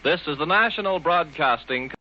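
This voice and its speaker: A man's voice: a little high, expressive, a little fast. A man making a formal station announcement in 1940s radio style. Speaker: network announcer. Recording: muffled old radio broadcast.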